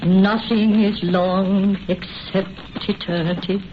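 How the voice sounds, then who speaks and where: A woman's voice: medium pitch, steady tone, slow, natural voice. An actress playing a lonely, superstitious old Irish mother with a lilting, theatrical delivery in an old-time radio horror drama. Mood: mournful, resigned. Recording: dull, noisy old radio recording.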